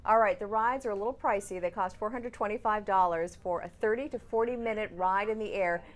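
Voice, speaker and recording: A woman's voice: medium pitch, a little fast. A woman anchoring television news, mixing presentation with casual banter.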